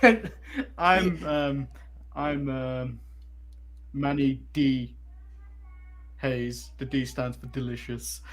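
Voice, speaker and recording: A man's voice: mid-range voice, fairly even intonation, extremely slow, long pauses. A man co-hosting a podcast in casual live banter. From England. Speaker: podcast co-host.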